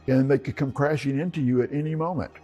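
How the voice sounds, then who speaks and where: A man's voice: mid-range voice, fairly even intonation, fast talker, warm tone, dull audio, faint music behind. A man in his seventies from Texas, a veteran photographer reminiscing in an interview. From Texas, United States. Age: 70s.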